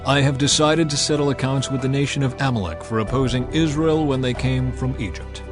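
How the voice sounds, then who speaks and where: A grave old prophet who declaims stern messages, as a male narrator reads him. His voice sits at a middle pitch with soft music behind. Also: a little fast, lightly acted.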